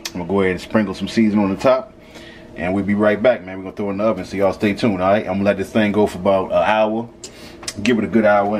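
A man in his thirties hosting a casual cooking vlog in a small home kitchen, talking to viewers in a relaxed, slangy way. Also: low voice. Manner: laid-back, slangy, talks to viewers as "y'all". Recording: loud hum behind voice.

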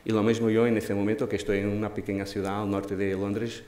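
A man's voice: fairly low pitch, little pitch movement, darkish tone. Portuguese man speaking Spanish, echoey hall. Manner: thoughtful, pausing with hesitations and restarts. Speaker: curator and panel moderator. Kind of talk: panel discussion talk. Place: large dark auditorium stage. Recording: echoey hall with faint noise.

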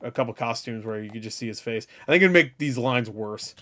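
A man's voice: mid-range voice, a little fast, fairly bright tone. A man hosting a reaction video with casual, profane, blunt and jokey commentary.

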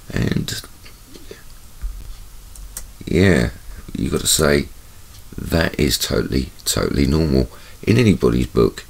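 A man's voice: very deep voice, gravelly, husky. A man with a stuffy, bunged-up voice hosts his own channel, talking chattily over camera footage and repeating words for emphasis. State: congested, bunged up. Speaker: channel host. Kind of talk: casual video commentary over camera footage.

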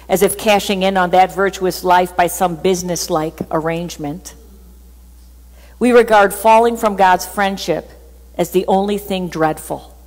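A middle-aged woman preaching a sermon from a church stage, chatty and animated, sometimes laughing at herself. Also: on the low side, big-room sound. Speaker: pastor. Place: church stage with band gear.

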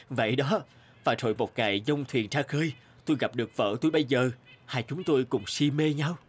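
A man voicing a poor, patient guitarist who turns fisherman in a fairy-tale cartoon, with expressive, exclamatory delivery. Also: medium pitch, normal speed, breathy edge, close to an everyday voice. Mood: content.

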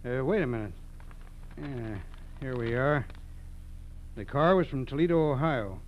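An actor playing a businesslike, courteous FBI agent in a 1940s radio drama, speaking in clipped phrases. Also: male voice, mid-range voice, measured pace, lightly acted. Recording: dull, hissy 1940s radio recording.

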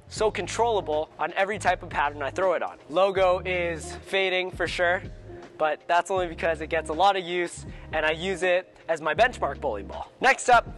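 An upbeat young man with a high voice talks in short, punchy bursts about his gear. He is on a lapel mic in an indoor bowling alley, with faint music underneath. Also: a bit bright. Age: young adult. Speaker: two-handed bowler presenting his arsenal. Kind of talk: casual equipment walkthrough.

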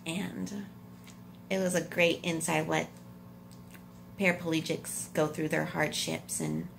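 A woman's voice: sits low, steady tone, slow pace, creaky, a little hiss or hum. A woman of twenty-nine, chatting bubbly and giggly to viewers on a phone camera in a hospital room. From United States. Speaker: vlogger. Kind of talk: casual couple vlog chat. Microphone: phone camera at arm's length.

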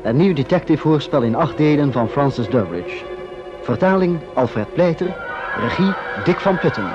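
A man's voice: on the high side, animated, normal speed. A man reading scripted title announcements as a radio announcer. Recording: muffled vintage radio recording, loud music.

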